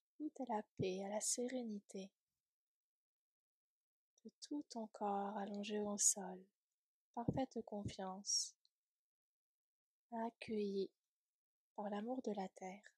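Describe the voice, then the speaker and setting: A woman leading a guided yoga nidra relaxation in a soft, breathy, soothing voice, gently trailing off at times toward a whisper. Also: medium pitch, takes it slowly, pauses often, dry recording.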